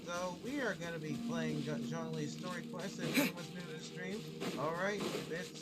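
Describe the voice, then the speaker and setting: A man giving casual live gameplay commentary, excitable and often repeating himself. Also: a little high, normal speed, poor audio. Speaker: game streamer. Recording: loud game music over voice. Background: video game music.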